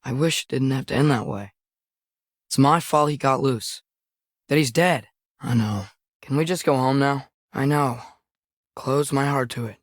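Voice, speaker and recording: A young male actor playing an earnest, impulsive teenage boy archer in a video game, expressive and youthful. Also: medium pitch, unhurried, pauses often, dry recording, clean studio sound, close to an everyday voice. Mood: remorseful. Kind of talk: video game character dialogue.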